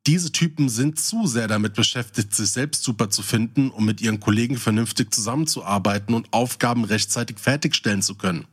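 A man's voice: mid-range voice, brisk, few pauses, husky. A man co-hosting a podcast, switching between casual chat and reading out short descriptions. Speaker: podcast co-host.

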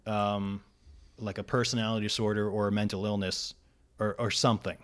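A man in his thirties, a comedian guest on a podcast, telling a personal story in a casual, profane, animated way. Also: fairly low pitch, a little fast.

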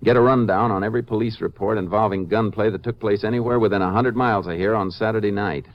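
An actor playing a steady, terse Texas Ranger investigator in a 1950s western radio drama, speaking matter-of-factly. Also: male voice, on the low side, fast talker, lightly acted. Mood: businesslike. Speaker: lead actor and narrator. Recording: dull vintage 1950s radio recording.

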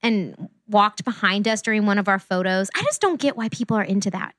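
A woman's voice: mid-range voice, lively intonation, a little fast, a bit bright, no echo, studio quality. A young woman co-hosting a casual podcast, chatty and laughing often. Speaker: podcast co-host. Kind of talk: casual chat. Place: near Cleveland, Ohio.

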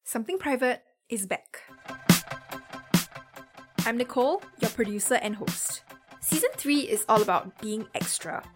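A woman hosting and producing a podcast, reading a measured, earnest intro and then chatting with her guest. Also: a little high, lively intonation, music in the background. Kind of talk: scripted podcast intro then conversational interview.

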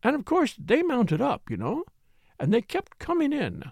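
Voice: high-pitched, wildly swooping pitch, moderate pace, vocal fry, a little mellow, no echo, clean studio sound, voice pushed up, full character voice. A reader voicing a fussy, tearful lady who talks in a fluttery, rambling, self-pitying way. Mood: aggrieved. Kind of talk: audiobook character reading.

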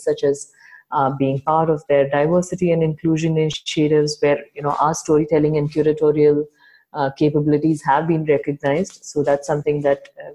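A woman's voice: low-pitched, dark tone. A woman from Chennai, a Tamil speaker, answering podcast interview questions thoughtfully as an entrepreneur guest. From Chennai, India.

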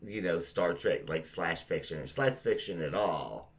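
A middle-aged man reminiscing casually with a friend as a podcast host, gushing and sweary and quick to laugh. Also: low voice.

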